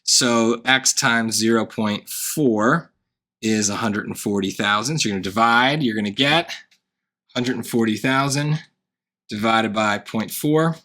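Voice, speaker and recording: A man walks through a math problem like a relaxed tutor, checking in with "right?" as he goes. His tone is bright and forward. The voice sounds worn and hoarse. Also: on the low side, unhurried. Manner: thinking aloud, wry asides, frequent "right?". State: voice getting hoarse. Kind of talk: casual worked-example lesson.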